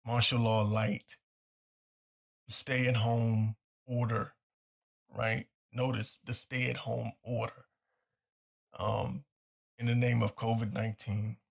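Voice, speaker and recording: A man hosting a talk show with a hoarse, nearly gone voice, delivering a blunt and insistent commentary monologue that repeats its points. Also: medium pitch, highs cut off. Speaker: podcast host.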